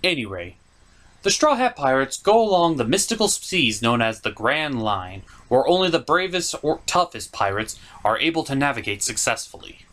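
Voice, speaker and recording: A man's voice: medium pitch, big pitch swings, normal speed, fairly bright tone. A man delivering scripted review commentary with joking asides and an emphatic, mock-exasperated manner. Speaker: reviewer hosting an anime review show.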